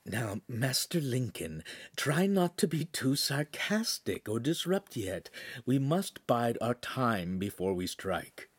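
A man voicing a calm male advisor heard in a listener's ear, speaking slowly and quietly. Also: medium pitch, slightly rough, no echo, full character voice. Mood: cautioning. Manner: slow, measured, hushed.

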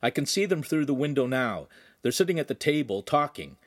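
A man's voice: mid-range voice, sing-song, a little fast, dry recording, studio quality, voice pushed up. A man voicing a level-headed teenage boy in an audiobook, casual and a little complaining. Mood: watchful.

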